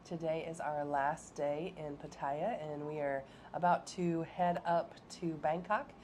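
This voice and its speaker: A woman with a low voice and a clogged, stuffed-up nose talks through her travel day in an easy, rambling way, filling pauses with ums and uhs. A faint hum of ambience sits behind her. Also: steady tone, a little mellow. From American Midwest. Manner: chatty, frequent ums and uhs. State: stuffed-up nose from a head cold. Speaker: travel vlog co-host. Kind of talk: casual travel vlog chat.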